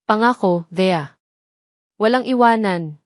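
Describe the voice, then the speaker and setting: A woman's voice: mid-range voice, slow pace, no echo, studio quality, natural voice. A woman voicing a remorseful, devoted male army major with an earnest, pleading manner in an audio drama. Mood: tender.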